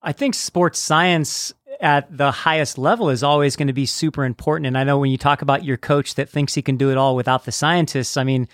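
A man's voice: mid-range voice, steady tone, a little fast, hardly any pauses, no echo, clean studio sound. A man hosting a conversational interview who speaks in an earnest, reflective way.

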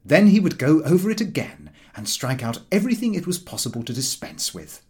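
Very animated male audiobook narrator. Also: fairly high pitch, fairly quick. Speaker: audiobook reader. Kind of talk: scripted narration of a comic novel.

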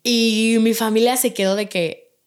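A young woman with Italian as her first language, chatting fast as an interview guest and filling her talk with repeated yeses and fillers. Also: on the high side, fairly even intonation. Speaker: podcast guest. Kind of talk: casual interview chat.

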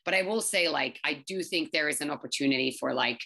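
A woman in her thirties, English not her first language, giving quick, chatty interview answers full of fillers and restarts. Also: low voice, creaky, bright, forceful tone. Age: 30s. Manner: fast, filler-heavy, many restarts. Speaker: startup founder.

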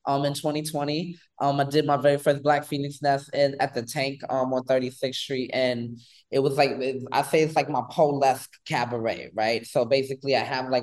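A man in his thirties from New York, a guest on an interview show, talking in an animated, rambling way with lots of fillers. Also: medium pitch. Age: 30s. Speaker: guest, pole dance instructor. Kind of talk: casual interview chat.

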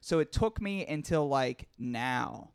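A man's voice: medium pitch, expressive, takes it slowly, creaky, slight background noise. A man hosting a podcast, chatting casually and rambling a little as he laughs along. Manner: laid-back, rambling, laughs often. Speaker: podcast host. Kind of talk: casual chat.

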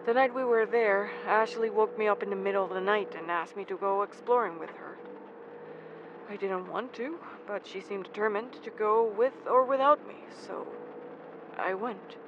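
An actor as a guilt-ridden young man in an audio drama, confessing something traumatic. The voice is high for a man and halting, with stammered starts. The sound is dull, with clear vehicle noise behind it. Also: natural voice. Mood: hesitant. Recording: dull, noisy lo-fi recording.

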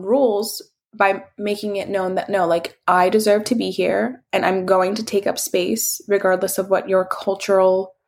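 A woman guest in a casual interview, talking reflectively and candidly about her content, leaning on "like" a lot. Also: mid-range voice. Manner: reflective and candid, heavy use of "like". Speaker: interview guest, social media creator.

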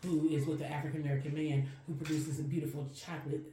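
A woman's voice: low-pitched, little pitch movement, fast talker, mellow. A woman co-hosting a podcast, talking earnestly and reflectively in long winding sentences. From Chicago. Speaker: podcast co-host. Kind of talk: casual podcast conversation. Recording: roomy and distant from the mic, faint noise.

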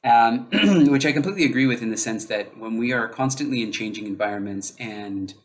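A man's voice: medium pitch, a little fast. A man hosting a solo podcast, talking it through unscripted, with lots of filler sounds and restarts. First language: English. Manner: unscripted, heavy use of fillers like um; thinking aloud, restarts mid-sentence. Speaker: podcast host.

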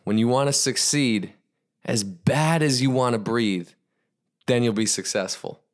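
Man performing cryptic guru, calm, terse, insistent, medium pitch, spoken motivational tale. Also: measured pace, close to an everyday voice. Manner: terse, insistent, repeating questions and commands. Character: calm, cryptic male guru testing his pupil.

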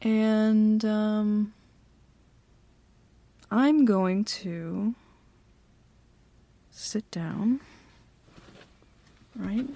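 Woman voicing a bossy female CEO, teasing and confident, slow unhurried talk, a little vocal fry. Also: mid-range voice, steady tone, mellow, no echo. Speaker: ASMR roleplay performer. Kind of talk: flirty ASMR roleplay.

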